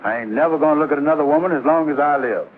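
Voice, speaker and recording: An actor playing a gullible, self-pitying middle-aged bachelor in a 1950s television sitcom, speaking with dogged emphasis. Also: male voice, a little high, racing, close to an everyday voice. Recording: noisy, narrow-band vintage TV soundtrack.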